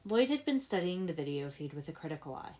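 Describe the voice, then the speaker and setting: Low-pitched woman reading a story. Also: big pitch swings, quick pace, dark tone. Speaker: fan fiction narrator, podfic reader. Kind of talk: scripted story narration with voiced dialogue.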